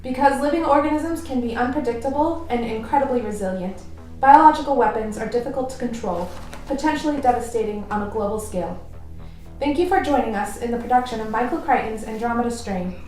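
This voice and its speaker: A woman's voice: fairly high pitch, fast, a little mellow. A woman giving scripted narration for an audio drama, heard from a distance in a very echoey space. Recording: very echoey, distant, faint music.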